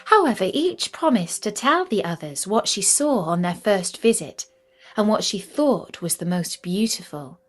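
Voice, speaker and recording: A woman reading a fairytale as a storyteller, in an expressive, measured storybook manner. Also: mid-range voice, faint music behind. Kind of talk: scripted fairytale narration.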